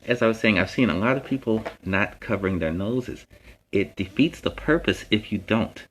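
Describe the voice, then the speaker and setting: Low-voiced man over background noise. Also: moderate pace, low-quality recording. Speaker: host of a costumed story time stream. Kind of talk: informal public safety talk to viewers. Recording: clear steady background noise.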